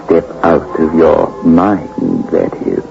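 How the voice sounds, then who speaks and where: A slow, gravelly, sinister horror host. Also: male voice, a bass voice, very animated, warm tone, faint music behind, full character voice. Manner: slow, menacing, gloating. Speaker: host and narrator. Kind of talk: 1950s radio drama narration. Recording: dull old radio recording.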